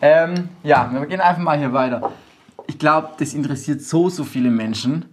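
A man's voice: a little high, moderate pace, a little hiss or hum. A man from southwest Germany hosting a podcast, talking loosely in slang and laughing often. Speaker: podcast host. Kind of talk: casual chat. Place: house in a village.

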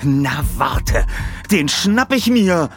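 A man's voice: a little high, very animated, extremely slow, music in the background, voice pushed up, full character voice. A man voicing a keen, urgent young male detective in an audiobook reading. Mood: eager, urgent. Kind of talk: audiobook character acting.

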